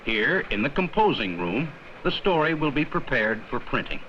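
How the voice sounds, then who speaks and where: A man reading scripted 1950s educational film narration in a measured, explanatory manner with simple, plain sentences. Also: mid-range voice, very animated, bright, forceful tone, dull audio. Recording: worn, noisy 1950s 16mm film soundtrack.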